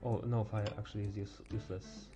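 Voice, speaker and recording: A teenage male game streamer thinking aloud in casual live chat, halting and stumbling over words as he goes. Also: lowish voice, little pitch movement, muffled recording, over music. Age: teens.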